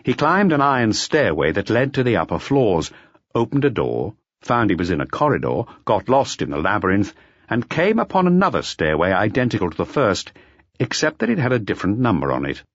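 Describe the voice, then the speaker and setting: A man reading a mystery audiobook in an expressive, dramatic storytelling manner. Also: on the low side, brisk, no echo, highs cut off. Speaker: audiobook narrator. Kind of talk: scripted mystery audiobook narration.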